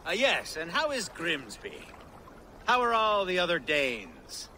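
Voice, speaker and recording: An actor playing a genial, ingratiating wealthy Saxon lord in a video game, with an effusive, flowery manner. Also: male voice, on the high side, wildly swooping pitch, takes it slowly, pushed and bright, slight background noise, exaggerated voice. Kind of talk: video game drama acting.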